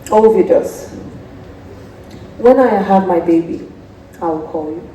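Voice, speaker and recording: A young woman acting a proud, solemn lady in a stage play, speaking deliberately. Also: on the low side, warm tone, a little hiss or hum. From Nigeria. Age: young adult. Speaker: student stage actor. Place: theatre stage. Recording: echoey stage, far mic, faint music.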